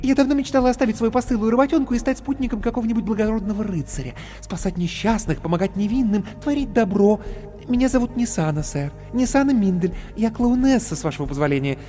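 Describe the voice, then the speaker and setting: A man's voice: high voice, quick pace, dark tone, pitched above the performer's own voice, lightly acted. A man playing a gushing young clown woman. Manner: effusive, theatrical, chattering. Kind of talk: audiobook character voice. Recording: reverberant with background music.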